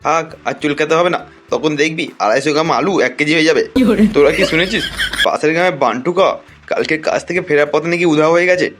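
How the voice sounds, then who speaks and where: A bossy, gossipy village big brother in a cartoon, acted by a man. He talks fast in a highish voice, crude and scolding, with music behind. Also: close to an everyday voice. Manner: crude, scolding, explaining at length. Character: gossipy, bossy village elder-brother type, easily exasperated. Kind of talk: cartoon voice acting.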